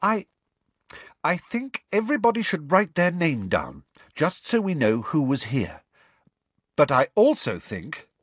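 A man voicing an earnest, bossy teenage girl student who talks briskly and a little impatiently. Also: on the high side, wildly swooping pitch, a bit bright, slightly rough, no room sound, pitched above the performer's own voice, heavily acted. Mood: tense.

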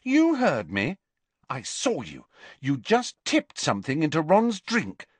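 A man's voice: fairly high pitch, wildly swooping pitch, slow pace, slightly rough, dry recording, pitched above the performer's own voice, heavily acted. A man reading aloud and voicing an indignant teenage schoolgirl who scolds in a raised, alarmed voice. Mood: alarmed scolding.

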